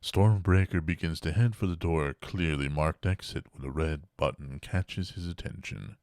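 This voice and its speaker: A man playing a solemn, ominous storyteller, narrating a scripted comedy horror audio drama in a deliberate manner. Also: sits low, darkish tone, dry recording, clean studio sound. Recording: noticeable background noise.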